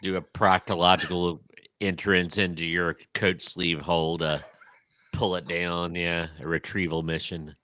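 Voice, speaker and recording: A man's voice: low-pitched, flat delivery, unhurried, bright, forceful tone, no echo. A man co-hosting a casual podcast, playful and fond of wordplay and jokey sound effects. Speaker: podcast co-host.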